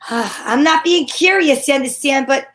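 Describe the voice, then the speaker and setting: A breezy female amateur sleuth acted by a woman in an old-time radio mystery. Her high voice is wry and sensible, with a take-charge manner. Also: unhurried, a bit bright. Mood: wry, matter-of-fact. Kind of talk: old-time radio mystery acting with first-person narration.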